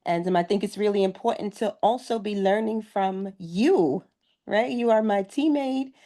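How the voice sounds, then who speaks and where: Mellow-voiced woman praising a teammate. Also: mid-range voice, normal speed. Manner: warm praise, hesitant fillers. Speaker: team member on the call.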